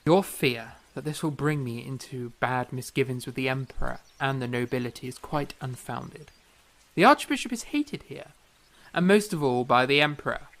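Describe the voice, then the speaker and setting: A man's voice: mid-range voice, lively intonation, normal speed, fairly bright tone. A man playing a proud, fiery young eighteenth-century composer in a period audio drama, speaking formally and emphatically with theatrical flair. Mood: earnest, proud.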